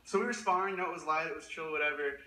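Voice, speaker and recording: Plaintiff, man, quick and defensive, bright voice. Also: on the high side, recorded from a distance. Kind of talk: courtroom testimony. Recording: echoey courtroom.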